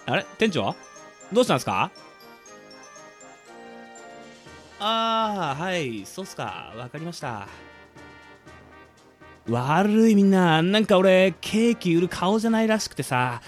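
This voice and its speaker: A man's voice: high-pitched, sing-song, unhurried, soft background music. A man playing a breezy, pushy, casual young convenience store worker in a radio drama. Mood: sheepish. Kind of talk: amateur Japanese radio drama acting.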